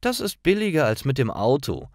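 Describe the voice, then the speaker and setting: A man voicing a cheerful young woman in a story for language learners, with bright, emphatic intonation. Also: medium pitch, wildly swooping pitch, a little fast, no room sound, studio quality, pitched above the performer's own voice. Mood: cheerful, eager. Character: Tine, cheerful young woman friend explaining things.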